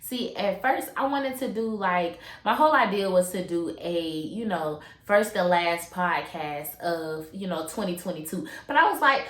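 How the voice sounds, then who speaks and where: A woman's voice: mid-range voice, fairly bright tone. Young woman host, stuffy nose, slangy casual solo chat, roomy echo. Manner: chatty, slangy, lots of fillers and asides. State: congested from a cold. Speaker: podcast host.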